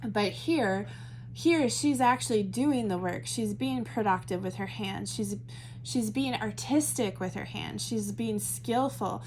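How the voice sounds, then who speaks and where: A woman's voice: mid-range voice, unhurried, darkish tone, a little hiss or hum, rough recording. A Midwestern American woman leading a Bible study, talking casually and thinking aloud with fillers and self-corrections. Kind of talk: casual teaching talk to camera.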